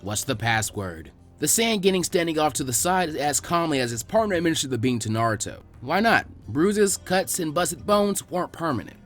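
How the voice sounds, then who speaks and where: A man reading fan fiction aloud as an expressive scripted narration. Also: on the high side, fairly quick, few pauses, soft background music.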